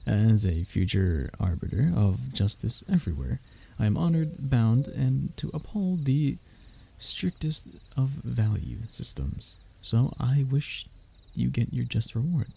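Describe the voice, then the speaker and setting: A man's voice: medium pitch, lively intonation, measured pace, gravelly, dark tone, husky, heavily acted. A man playing a young royal heir in a roleplay audio drama, formal and pompous in his wording but often faltering into nervous stammers as he addresses the listener. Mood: flustered, formal.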